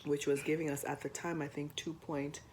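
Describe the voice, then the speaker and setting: A young woman co-hosting a personal finance chat to camera, hoarse while getting over the flu, chatty with frequent ums. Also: low-pitched, little pitch movement, fairly quick, a little mellow, slight background noise. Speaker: co-host of a personal finance channel.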